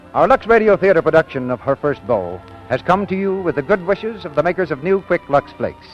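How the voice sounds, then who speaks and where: American man, 1940s radio announcer, formal and emphatic, highly animated pitch, dull old broadcast sound. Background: music.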